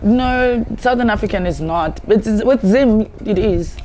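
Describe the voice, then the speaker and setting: A woman's voice: mid-range voice, a little slow, dark tone. A woman from Zimbabwe chatting casually and candidly as a guest. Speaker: guest, Amapiano DJ and producer.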